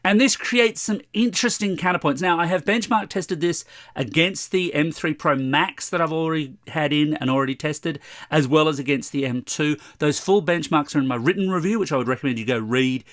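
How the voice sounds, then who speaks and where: A man in his forties talks through a laptop review from a room at home. His voice is a little hoarse and sits a touch high. His delivery is chatty and wry, with a grumble here and there, and the sound is dry and close. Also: a little fast, fairly bright tone, dry recording. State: slightly hoarse. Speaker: tech reviewer. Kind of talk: casual product review to camera.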